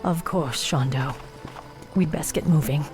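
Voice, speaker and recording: A woman's voice: low-pitched, measured pace, over music. An actress playing a stern, impatient elven warrior woman in a fantasy video game, speaking formally and with command. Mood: resolute, respectful. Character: stern, impatient night elf warrior woman hunting a demon. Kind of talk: dramatic video game cutscene acting.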